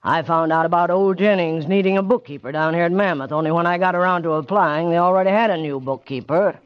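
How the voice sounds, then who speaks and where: An American actor playing a bitter, vengeful bookkeeper in 1940s radio drama, taunting and mocking with quiet menace. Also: male voice, fairly high pitch, fast talker, clear, resonant tone, lightly acted. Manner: taunting, mocking, with sly chuckles. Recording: dull, lo-fi old radio recording.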